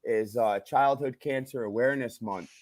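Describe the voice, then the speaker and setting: A man co-hosting a livestream, joking around and talking loosely with pauses and fillers. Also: mid-range voice, a little mellow, no echo. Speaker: co-host of a car audio livestream. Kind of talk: casual livestream chat.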